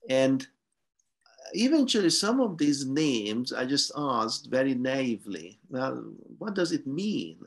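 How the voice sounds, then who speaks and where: A man in his fifties, a native Hungarian speaker, talking slowly with many pauses and fillers on a video call from a room at home. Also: medium pitch, vocal fry. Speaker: guest scientist. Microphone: video call webcam.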